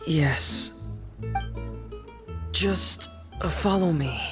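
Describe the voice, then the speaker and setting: A quiet, earnest teenage boy, music behind. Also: fairly high pitch, drawn-out words. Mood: hesitant. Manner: hesitant, subdued, earnest. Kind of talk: English video game dub acting.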